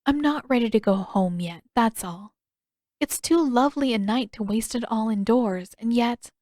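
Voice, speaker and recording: A woman voicing a gentle, wistful young princess in a storybook reading, speaking politely in measured, formal phrases. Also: a little high, no echo, studio quality, natural voice.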